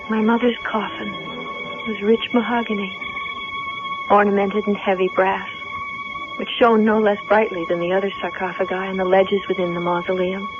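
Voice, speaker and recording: A muffled vintage radio recording with music behind. An actress plays a determined yet anxious young woman in a radio drama. Her delivery is measured, earnest and theatrical, in a middle-pitched voice. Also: flat delivery, natural voice. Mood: solemn, grieving.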